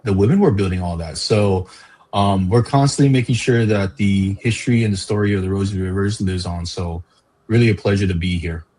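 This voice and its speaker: Husky low-voiced man from Hawaii. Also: fairly quick. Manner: relaxed and friendly, with frequent fillers. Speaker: park educator guest. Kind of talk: casual interview chat.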